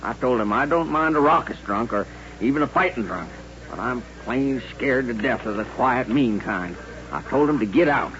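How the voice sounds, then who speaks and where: An actor playing a nervous, folksy saloon barkeep with an American Western accent and halting speech in an old radio western. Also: male voice, mid-range voice, lively intonation, fairly bright tone, lightly acted. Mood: worried. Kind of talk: 1950s radio western acting. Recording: dull old-time radio recording.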